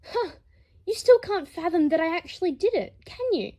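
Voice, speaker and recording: A woman's voice: very high voice, big pitch swings, moderate pace, dark tone, pitched above the performer's own voice, heavily acted. A woman reading a dramatised story as a hot-tempered, protective father, blunt and combative. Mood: angry taunting. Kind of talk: dramatised audiobook reading of fan fiction.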